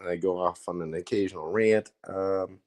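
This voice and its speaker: A man with an Indiana accent hosting a casual livestream, talking in a rambling, profane, excitable way. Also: deep voice, moderate pace, no echo, studio quality. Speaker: livestream host.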